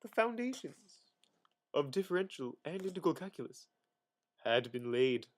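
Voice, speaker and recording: A man with a somewhat high voice performs a dramatic reading of dry reference prose. He is mock-grandiose, swelling suddenly for emphasis and dropping back with theatrical pauses. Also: big pitch swings. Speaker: amateur reader.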